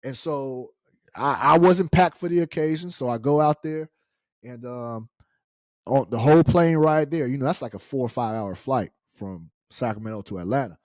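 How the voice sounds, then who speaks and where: A man's voice: mid-range voice, normal speed, a little mellow, no echo. A middle-aged American man telling a personal story casually and colloquially, acting out the dialogue as he goes. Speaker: storyteller, show host.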